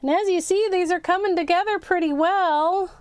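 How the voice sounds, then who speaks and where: High-voiced woman chatting through a craft livestream. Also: normal speed, dry recording. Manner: chatty, self-narrating, repeats words. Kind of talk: casual live craft chat, thinking aloud.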